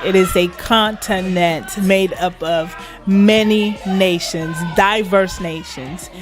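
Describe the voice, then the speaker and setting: A woman's voice: medium pitch, steady tone, slow, over music. A woman from Liberia, a guest in an interview, speaking with pride and emphasis and laughing often. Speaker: guest, internet radio station manager. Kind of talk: casual interview chat.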